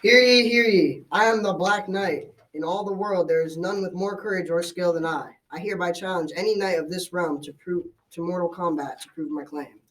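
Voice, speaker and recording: A man's voice: sits high, fairly even intonation, a little fast, few pauses, lightly acted. A teenage boy playing a boastful, menacing knight who proclaims pompously in a school radio drama. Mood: boastful challenge. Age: young teenager. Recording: distant mic with background noise.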